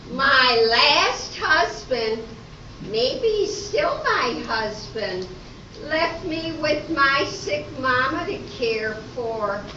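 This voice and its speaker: Woman playing a very slow, scatterbrained country contestant. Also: high voice, bright, forceful tone, heavily acted. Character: rambling, much-married, down-on-her-luck country woman contestant. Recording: echoey, distant, dull live recording, faint noise.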